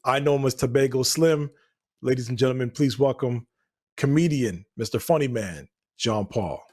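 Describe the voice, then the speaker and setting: A man's voice: mid-range voice, normal speed, darkish tone. A man hosting a show, introducing the next act in a friendly, hyped way. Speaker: host. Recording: distant from the mic.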